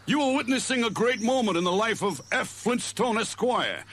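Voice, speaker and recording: A man voicing a loud, boastful, pompous grown man in a storybook reading. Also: high-pitched, expressive, moderate pace, a little hiss or hum, voice pushed up. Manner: blustery and self-important.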